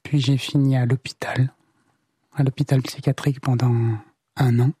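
A man in his twenties giving a personal testimony, slow, halting and subdued. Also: medium pitch, flat delivery, mellow, breathy edge, no echo, clean studio sound. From France. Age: 20s.

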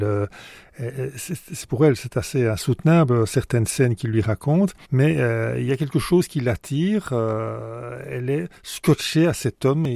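A man's voice: medium pitch, gravelly, warm tone, no room sound, clean studio sound. A man from Belgium, an author answering radio interview questions, hesitant with many fillers and restarts.

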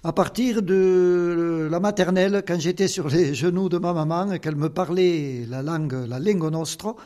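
A man's voice: high voice, normal speed, warm tone. An older man with a southern Occitan accent, a guest answering interview questions in an earnest, explanatory way with many fillers. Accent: Occitan southern French.

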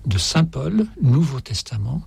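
A male priest with a Dutch-Flemish accent gives reflective interview answers. His voice is very husky and gravelly, and he speaks slowly, in deliberate phrases that he often repeats for emphasis. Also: medium pitch, sing-song, darkish tone. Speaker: Jesuit priest, author, interview guest.

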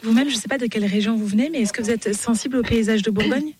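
Brisk radio interviewer. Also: medium pitch, darkish tone, husky. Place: outdoors at a building site.

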